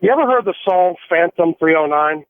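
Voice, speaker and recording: A man's voice: sits high, animated, a little fast. An American man, a trucker calling a radio show, telling a casual, rambling story over a phone line. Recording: tinny narrowband phone line.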